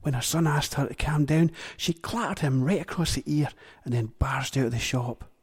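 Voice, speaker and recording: A man's voice: medium pitch, moderate pace, slightly rough, no room sound, clean studio sound, pitched above the performer's own voice. A man voicing a gossipy young female shop checkout girl in a storybook reading.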